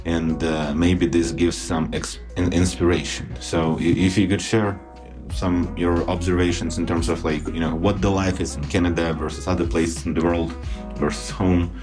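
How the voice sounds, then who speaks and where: A man's voice: sits low, flat delivery, moderate pace, music in the background. A man with a Ukrainian accent hosting a podcast, asking long, rambling questions full of fillers. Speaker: podcast host. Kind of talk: casual interview questions.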